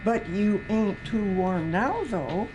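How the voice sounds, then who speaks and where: Woman as a folksy, probing old aunt, unhurried. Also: medium pitch, expressive, highs cut off, noisy background. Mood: probing, sly. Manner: folksy rural dialect, coaxing questions. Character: shrewd, fond older aunt, folksy and probing.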